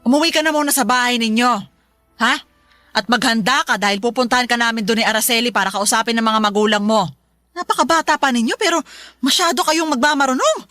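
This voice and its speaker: A woman's voice: on the high side, fast, sharp tone, no echo, studio quality, soft background music. A woman playing a stern, exasperated Filipino mother who scolds emphatically in a radio drama. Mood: indignant scolding.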